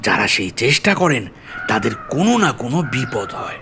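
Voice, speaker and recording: A man's voice: mid-range voice, sing-song, normal speed, bright, forceful tone, a little hiss or hum. A man playing an earnest, deferential police officer in an audio story, explaining things politely and seriously. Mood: grave.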